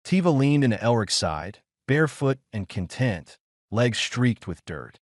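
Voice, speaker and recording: A man narrating a scripted science fiction story in measured, short phrases with dramatic pauses. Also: medium pitch, very animated, dry recording, studio quality. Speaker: audiobook narrator.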